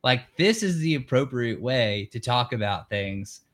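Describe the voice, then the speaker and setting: Measured, reflective male podcast host. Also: mid-range voice, expressive, a bit bright. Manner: measured, reflective, explains ideas step by step. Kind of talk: casual conversation.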